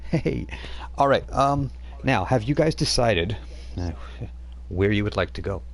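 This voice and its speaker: Man, very low voice, game master, easygoing rambling narration, live stream. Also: animated, a little slow. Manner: rambling, with frequent restarts and fillers.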